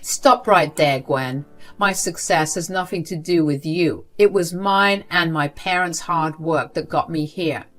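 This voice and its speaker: A woman's voice: sits low, a little slow, faint music behind. A woman voicing an angry young woman who bluntly refuses her manipulative grandmother. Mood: furious. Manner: sharp, angry.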